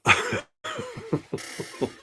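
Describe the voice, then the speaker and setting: A man's voice: extremely high pitch, wildly swooping pitch, very slow, sharp tone. A man in his sixties speaking as an art resilience instructor, giving a casual, earnest and encouraging talk to his class in a plainspoken way.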